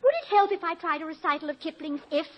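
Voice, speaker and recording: An actress playing a college president's tender, witty wife, a former stage actress with a British accent and poised diction, in a 1950s radio drama. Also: female voice, very high-pitched, fast, a little hiss or hum. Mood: tender, wry. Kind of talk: 1950s American radio comedy-drama acting. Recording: muffled old radio recording.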